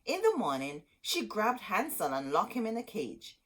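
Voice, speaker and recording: A woman reading a children's story aloud as host, expressive and dramatic, drawing out words for emphasis. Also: medium pitch, moderate pace.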